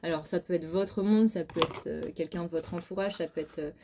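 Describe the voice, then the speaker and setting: A woman's voice: on the low side, fairly even intonation, brisk, noisy background. A very tired woman giving a casual, chatty tarot reading, speaking informally and bluntly with mild swearing. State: very tired, short of sleep. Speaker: tarot card reader.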